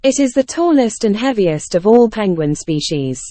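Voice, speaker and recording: A woman's voice: medium pitch, sing-song, moderate pace, warm tone, no echo. A woman reading a scripted practice passage aloud. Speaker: test-practice passage reader. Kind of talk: scripted read-aloud narration.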